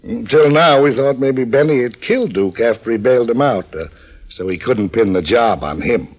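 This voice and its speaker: An American man playing a chatty police detective in an old-time radio drama, husky and a little halting as he explains things. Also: mid-range voice, animated, darkish tone, close to an everyday voice. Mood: matter-of-fact.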